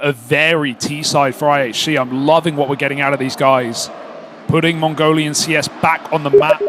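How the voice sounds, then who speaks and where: A man's voice: fairly high pitch, fairly bright tone, slight background noise. A man commentating live on an esports match, enthusiastic and analytical in his delivery.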